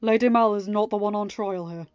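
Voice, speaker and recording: A woman's voice: mid-range voice, brisk, a little mellow, clear, resonant tone, no echo, highs cut off, close to an everyday voice. A woman voicing a stern young king in a storybook reading, firm, formal and hard-edged. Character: stern, commanding young king chairing a trial.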